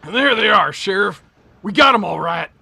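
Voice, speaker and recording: A man playing a brash, rough, defensive cowboy deputy with a raspy voice in a western radio drama. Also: sits high, expressive, normal speed, sharp tone, heavily acted.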